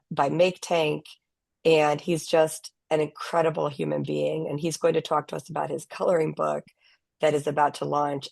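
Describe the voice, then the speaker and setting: A woman's voice: low voice, vocal fry, no echo. A woman hosting an online panel, giving enthusiastic introductions with a few fillers over a video call. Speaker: host.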